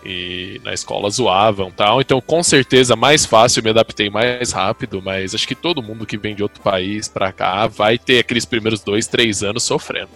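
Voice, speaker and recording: A man from Brazil speaking in a relaxed, matter-of-fact way in casual chat. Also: fairly low pitch, normal speed, hardly any pauses.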